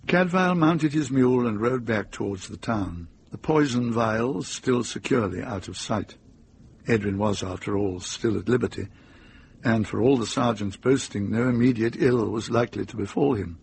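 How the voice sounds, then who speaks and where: A man's voice: medium pitch, normal speed, gravelly, a little mellow, slight background noise. A man narrating a radio drama as a storyteller, reading scripted prose.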